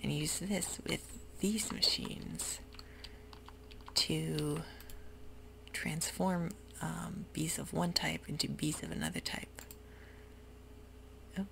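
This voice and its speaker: A woman whispers her way through a computer game in a low, gravelly voice, unhurried and full of ums. The recording carries a clear hiss, with keyboard typing close by. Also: fairly bright tone. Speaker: ASMR gaming creator. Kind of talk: soft-spoken ASMR gameplay commentary. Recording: noisy home recording.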